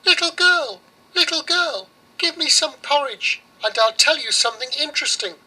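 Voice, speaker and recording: A man's voice: very high voice, lively intonation, normal speed, pushed and bright, voice pushed up, heavily acted, poor audio. A man voicing a small helpful mouse in a storybook, pleading and urgent. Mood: coaxing. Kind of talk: storybook character voice.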